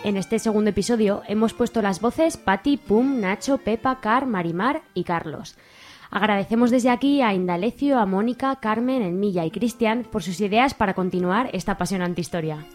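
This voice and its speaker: A woman presents and narrates a radio serial in an accent from Spain. Her pitch is average and her delivery is scripted. Soft music plays behind her. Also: moderate pace.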